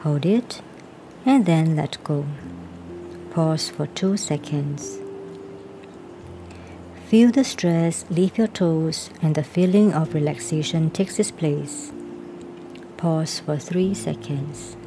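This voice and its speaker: Low, slow woman over soft music. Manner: calm, even instruction that reads the pause cues aloud. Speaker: reader of a self-help workbook. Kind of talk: reading a guided body-scan relaxation aloud. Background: clear background music.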